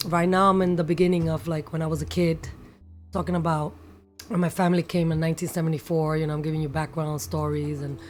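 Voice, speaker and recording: A woman's voice: low-pitched, level tone, quick pace, soft background music. A woman, a chatty guest who mixes in Spanish words and has a cold. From New York City. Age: 50s. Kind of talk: casual livestream interview.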